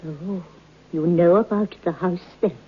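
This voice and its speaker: An actress playing a tiny, shrewd, knowing seamstress who tells old gossip as a deliberate, dramatic radio storyteller. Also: female voice, fairly low pitch, husky. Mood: grave storytelling. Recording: tinny old radio recording.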